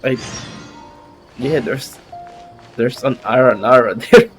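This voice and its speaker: A man doing casual live gameplay commentary in short, halting reactions. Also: mid-range voice. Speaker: game streamer. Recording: faint game music behind.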